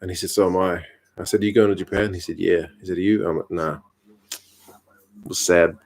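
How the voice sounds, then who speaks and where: A New man in his mid-thirties chats easily on a home live stream, his voice sitting a little low. He answers viewer comments in a laid-back, wry way, with a conversational rhythm. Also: brisk, vocal fry. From New Zealand. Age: 30s. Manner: relaxed, chatty, dry humour. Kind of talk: casual chat reading viewer comments.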